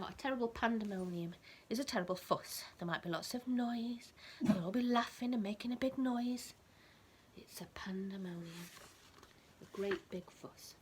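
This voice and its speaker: A woman's voice: mid-range voice, slight background noise. A woman reading a storybook expressively to a small child, explaining things in simple words as a teacher would. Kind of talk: animated storybook read-aloud with asides explaining words.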